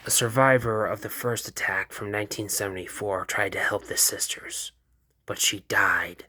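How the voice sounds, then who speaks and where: A man voicing a cold, commanding woman boss, speaking in a slow, hushed, breathy whisper for a fan-made cartoon. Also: on the low side. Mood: calm, ominous. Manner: slow, calm, ominous.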